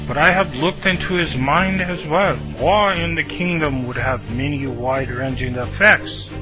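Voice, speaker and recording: A man's voice: a little high, measured pace, over music, natural voice, rough recording. A man playing an aged, courteous foreign magician with a formal manner in a fan radio play. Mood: deferential. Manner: formal, deferential.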